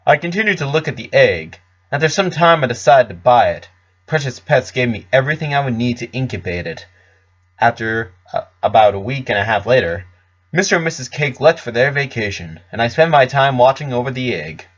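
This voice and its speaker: A man's voice: medium pitch, lively intonation, a little fast. A man narrating a scripted story in a storybook reading style. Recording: slightly dull, lo-fi recording.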